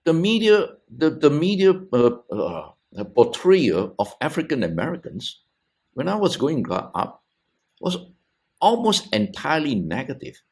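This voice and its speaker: A middle-aged man from Malaysia, a theologian on a discussion podcast, talking in a halting way with frequent restarts and repeated words. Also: a little high, huge pitch swings, a little mellow. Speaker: theologian panelist. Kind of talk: thoughtful discussion on a video podcast.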